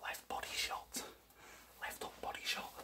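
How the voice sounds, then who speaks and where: A cocky champion boxer acted out by a young man brags in a breathy whisper. He repeats his boasts with total self-assurance, in an ASMR comedy skit with a crisp, bright hiss to the voice. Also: unhurried, distant mic. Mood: boastful, instructing. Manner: bragging, repetitive. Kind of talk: ASMR roleplay.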